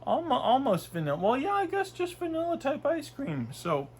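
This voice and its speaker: A man's voice: very high-pitched, wildly swooping pitch, normal speed, darkish tone, a little hiss or hum. A young man from Canada with a stuffy nose from a cold, chatting casually and rambling through a food review in his bedroom. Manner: rambling, chatty, with frequent fillers and restarts. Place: small bedroom, indoors.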